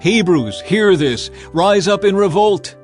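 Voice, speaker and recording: A man's voice: high-pitched, animated, slow pace, soft background music, pitched above the performer's own voice. A man doing a commanding ancient king. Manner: commanding, loud proclamations. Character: commanding ancient male king, proud and impatient.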